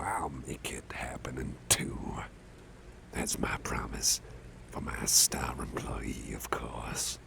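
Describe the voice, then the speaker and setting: A man playing a big, gruff but caring boss in an ASMR roleplay, murmuring gently with a gravelly, often breathy voice and soft hesitations. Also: a bass voice, background noise, heavily acted. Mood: reassuring. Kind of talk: ASMR personal-attention roleplay.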